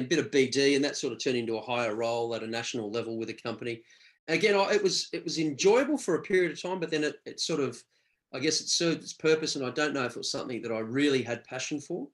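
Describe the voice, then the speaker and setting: A man in his forties from Australia talks over his path after a playing career. His pitch sits in an ordinary middle range. He pauses and restarts often, thinking aloud in a relaxed chat. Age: 40s. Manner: reflective, halting with many restarts. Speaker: former rugby player, guest. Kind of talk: casual conversational interview.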